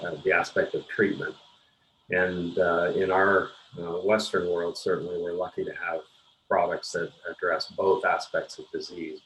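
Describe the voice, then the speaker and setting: An older man with a Canadian accent speaks in a deep, very even voice. He explains things carefully and haltingly as an interview guest, with noticeable noise behind him. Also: poor audio. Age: 50s or older. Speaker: interview guest, pharmaceutical scientist and executive. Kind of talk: measured explanatory interview talk.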